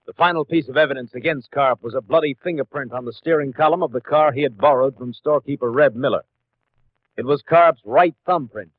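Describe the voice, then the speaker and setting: A man's voice: fairly high pitch, no echo, narrow, tinny audio. A man reading a scripted case report in a formal, factual manner for an old radio show. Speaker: narrator. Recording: thin, band-limited old radio recording.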